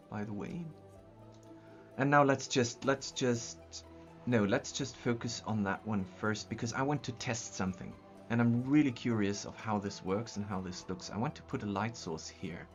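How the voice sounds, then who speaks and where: A young Austrian man speaks English with a German-speaking accent, thinking aloud as he works through a map live. His talk is loose and halting, full of ums and restarts. The sound is a bit dull, with soft music underneath. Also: mid-range voice. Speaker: streamer building a map. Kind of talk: casual live-stream chat. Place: small room at a desk, indoors.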